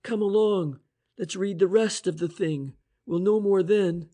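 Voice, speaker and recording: A man voicing a brisk, excitable male police inspector who talks in an eager, emphatic and commanding way. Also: high voice, pitched above the performer's own voice. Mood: excited.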